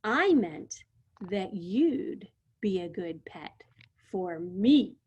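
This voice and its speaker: A woman voicing a big, gentle male dinosaur in a storybook read-aloud, speaking patiently and politely. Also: medium pitch, very animated, drawn-out words, husky, highs cut off, exaggerated voice. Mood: calm polite.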